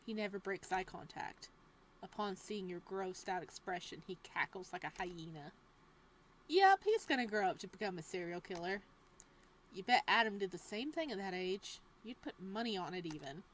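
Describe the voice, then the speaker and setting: A young woman at an ordinary middle pitch reads the text of a visual novel aloud. She drops quiet and even for the narration, then jumps into loud, playful side comments. Her talk is casual and jokey, with the odd curse. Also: moderate pace, a little hiss or hum, rough recording. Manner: reads narration softly, breaks into loud animated asides; casual and profane, joking. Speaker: game streamer.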